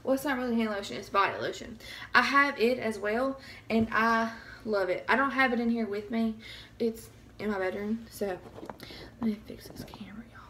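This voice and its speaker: A woman's voice: on the high side, normal speed, a bit bright. A young woman, chatting nervously in a casual review vlog, her voice slightly scratchy from bronchitis, recorded close on a phone camera in a small bedroom. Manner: chatty, nervous, keeps saying y'all. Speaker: vlogger.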